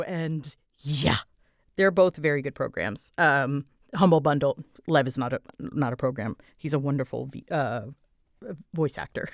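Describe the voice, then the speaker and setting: Woman, lower-pitched voice, dry close recording, casual rambling chat, informal, self-deprecating. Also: gravelly, no echo. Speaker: audio creator and voice artist answering listener questions.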